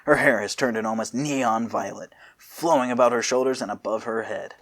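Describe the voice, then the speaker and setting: A man's voice: medium pitch, normal speed, fairly bright tone. A man reading fanfiction aloud as a storyteller, dropping in casual asides. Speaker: fanfiction reader.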